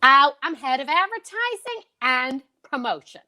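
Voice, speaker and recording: A woman's voice: sits high, sing-song, unhurried, sharp tone, full character voice. A woman playing a worried department store advertising woman in 1940s radio acting. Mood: worried, urgent.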